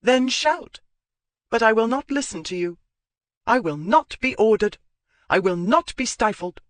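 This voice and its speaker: A woman's voice: on the low side, lively intonation, moderate pace, dry recording, voice pushed up, close to an everyday voice. A man voicing a fiery, strong-willed young woman who speaks in a clipped, formal way. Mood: indignant. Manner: indignant, clipped formal speech.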